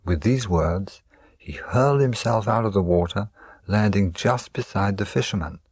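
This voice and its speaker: A man's voice: lowish voice, moderate pace, a little mellow, breathy edge, no echo, clean studio sound. A man narrating a scripted fable as a storyteller.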